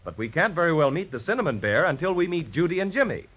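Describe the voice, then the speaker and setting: A man's voice: a little high, sing-song, quick pace, slightly rough. A man narrating a children's serial as a theatrical 1930s radio announcer and storyteller. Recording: dull 1930s radio recording.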